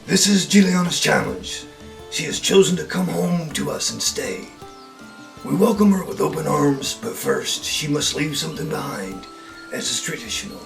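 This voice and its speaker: Male actor as solemn ritual leader in audio drama, gravelly and animated, declaring formally from off mic over music. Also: on the high side, moderate pace. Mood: solemn, commanding. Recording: distant mic, music behind.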